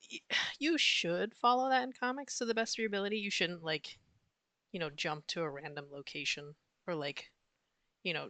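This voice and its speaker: A hoarse young woman rambling casually about her comic work on a live stream, self-deprecating and full of fillers. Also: fairly low pitch, pushed and bright, no echo. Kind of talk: casual rambling while drawing.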